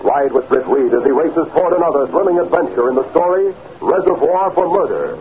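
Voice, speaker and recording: A man narrating a 1940s radio drama as announcer, dramatic and emphatic with clear enunciation. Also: fairly high pitch, lively intonation, a little fast. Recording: very noisy, tinny 1940s radio recording.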